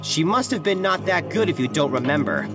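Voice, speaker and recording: A man's voice: a little high, expressive, brisk, distant mic, over music, lightly acted. A man playing a vengeful, insistent jealous husband in a horror audio drama. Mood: menacing. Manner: insistent, interrogating.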